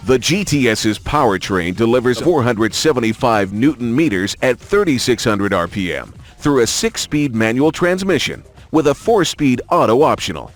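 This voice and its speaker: Guy narrating a car promo, scripted read, medium pitch, really animated intonation.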